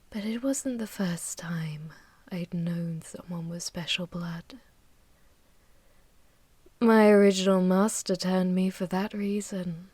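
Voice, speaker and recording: An ancient, ruthless vampire mistress, acted by a woman for an ASMR audio roleplay. She speaks slowly and softly into a close, dry microphone, often sinking into breathy whispers. Her manner is haughty and possessive, with a teasing, seductive edge. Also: on the low side, dry recording. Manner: slow, teasing, haughty, commanding. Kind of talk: intimate ASMR audio roleplay, monologue to listener.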